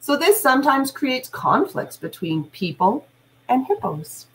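A middle-aged woman teacher reading aloud to children, deliberate, adding explanations and questions for young listeners. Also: high-pitched, very animated, low-quality recording. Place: small room at home, indoors.

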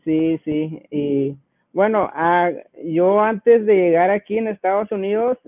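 A man's voice: high voice, fairly even intonation, slow, no echo, phone-quality sound. A man in his twenties with a Guatemalan accent, telling his personal story casually as an interview guest. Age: 20s.

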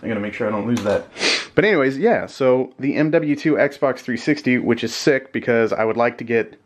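A man's voice: medium pitch, brisk, slight background noise. Chatty, grateful man unboxing gaming packages. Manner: chatty, grateful, rambling with asides. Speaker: gaming YouTuber unboxing fan mail. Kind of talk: casual unboxing chat. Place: indoors on a carpeted floor.

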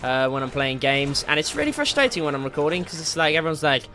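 A man giving casual, excitable and jokey let's play commentary as he hosts a gaming video. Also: fairly high pitch, fast. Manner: excitable, jokey, mildly crude exclamations. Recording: game music behind the voice. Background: video game music.

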